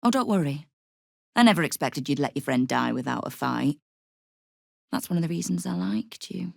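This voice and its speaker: A hard-bitten female guild boss from a fantasy game speaks in a low, gravelly voice, close and dry in the recording. She is sardonic and commanding, used to giving orders and making threats with dry wit. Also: lively intonation, moderate pace, a little mellow, no echo, exaggerated voice. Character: tough, sardonic woman crime boss ruling a city thieves' guild. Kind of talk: video game character acting.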